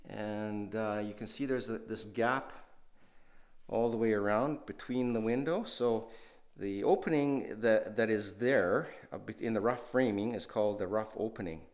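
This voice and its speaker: A man with a fairly low voice gives a casual, unhurried tour of a house being renovated. He rambles, restarting sentences and filling pauses with "uh." He sounds like he's recorded on the camera's own mic inside the empty house. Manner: relaxed, rambling, many fillers and restarts. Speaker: renovation video host. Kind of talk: casual walkthrough narration.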